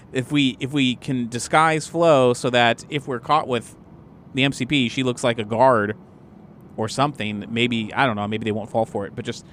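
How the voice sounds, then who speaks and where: Male tabletop player, medium pitch, casual conversational planning, slight background noise. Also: a bit bright. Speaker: tabletop role-playing player. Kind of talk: casual game planning chat.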